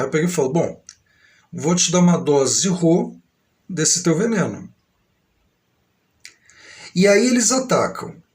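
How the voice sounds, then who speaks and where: Grandiose rambling São Paulo man. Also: a little high. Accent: São Paulo Brazilian Portuguese. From Brazil. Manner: grandiose, aggrieved, meandering with uneven pace. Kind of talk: rambling monologue to camera.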